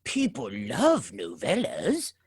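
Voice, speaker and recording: A woman's voice: rumbling low, slow pace, husky, dry recording, studio quality, full character voice. A woman playing an eager, wheedling ghost. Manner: wheedling, excitable. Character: eager, pleading male ghost. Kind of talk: radio play acting.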